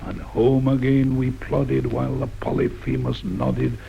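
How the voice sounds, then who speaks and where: A man's voice: mid-range voice, little pitch movement, a little slow, vocal fry, warm tone, slight background noise. A man reading his own poem aloud in a rhythmic, sing-song recitation, on a dull old tape recording. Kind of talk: poetry recitation.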